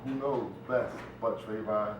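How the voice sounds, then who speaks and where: A man's voice: lowish voice, steady tone, unhurried, muffled recording. A middle-aged American man talking casually and earnestly as an interview guest, dropping in frequent conversational asides. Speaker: interview guest, stage play writer. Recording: very echoey room, distant mic, noisy.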